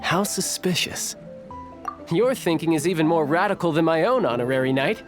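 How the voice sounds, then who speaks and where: A polished, calculating young male schemer from a fantasy game voiced by a man. The voice is fairly high for a man and chooses formal words with care, with music clearly behind it. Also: lively intonation, close to an everyday voice. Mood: cool, persuasive. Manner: polished, deliberate, formal wording.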